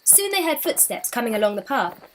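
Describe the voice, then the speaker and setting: A woman reads a children's folktale aloud. Her voice is on the high side, and she tells it expressively, with deliberate, dramatic delivery. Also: sing-song, slight background noise, low-quality recording. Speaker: children's storybook reader. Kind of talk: expressive scripted storytelling.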